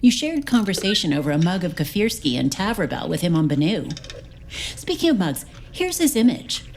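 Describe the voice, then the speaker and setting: A woman's voice: fairly low pitch, big pitch swings, fairly quick, a little hiss or hum. A woman playing a probing, pointed investigator questioning a spaceship crew in an audio drama. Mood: pressing, skeptical.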